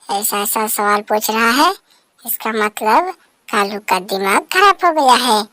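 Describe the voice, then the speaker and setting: A woman's voice: fairly high pitch, measured pace, sharp tone, no room sound. A woman voicing a concerned, advice-giving female bird in a cartoon story, urging and repeating her words. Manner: urging, repetitive. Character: busybody female bird friend, concerned and advising. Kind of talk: Hindi animated bird story dialogue.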